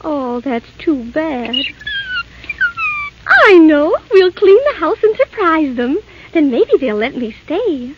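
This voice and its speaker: A woman's voice: sits high, animated, slow, slight background noise. A woman playing a sweet, innocent young fairy-tale princess with childlike, theatrical delivery in 1930s radio style. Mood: delighted, curious. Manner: wide-eyed, childlike, theatrical exclamations. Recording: muffled 1938 radio recording with music.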